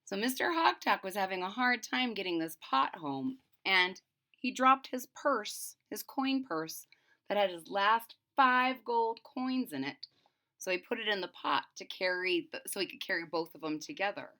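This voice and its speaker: A woman reading a picture book aloud for children, pausing to explain it simply in a deliberate, teacherly way. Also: mid-range voice, expressive, pushed and bright.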